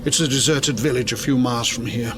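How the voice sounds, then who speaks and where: A man's voice: mid-range voice, steady tone, fairly quick, background noise, close to an everyday voice. An actor playing an anxious, protective father in a gothic audio drama, worried, tender and genteel in manner. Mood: firm, sorrowful. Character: anxious, protective father of an ailing young woman.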